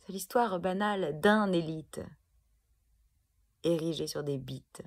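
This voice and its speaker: Breathy, slow-spoken woman reciting poetry. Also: lowish voice. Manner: deliberate, emphatic, dramatic phrasing with pauses. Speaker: poet performing own protest poem.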